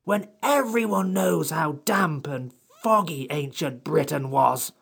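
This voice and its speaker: A man voicing a haughty, complaining furry magical creature in a creaky, husky storybook character voice. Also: fairly high pitch, expressive, takes it slowly, pitched above the performer's own voice, exaggerated voice. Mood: irritated, disdainful. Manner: crabby, pompous, disdainful.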